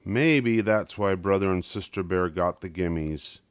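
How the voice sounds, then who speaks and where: A man's voice: low-pitched, a little slow, smooth, no echo, studio quality. An older man narrating a children's picture book as a scripted story reading.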